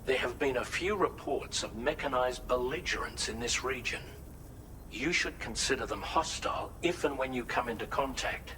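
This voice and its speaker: A coolly pedantic male science officer from a game drama, played by a man, mid-pitched and formal. He explains and cautions in precise, articulate phrases over noticeable background ambience. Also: bright, forceful tone, slight background noise, natural voice, poor audio. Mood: grave, cautioning. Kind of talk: dramatic video game cutscene acting.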